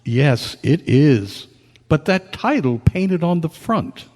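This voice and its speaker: A man acting a gentle listener and narrator in a staged radio drama, speaking deliberately. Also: a little high, very animated, full character voice. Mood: gentle, concerned. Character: Colonel Bear, kindly listener comforting a ghost, also narrator. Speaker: narrator and actor. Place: live club meeting in a hall. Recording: echoey hall with long reverb.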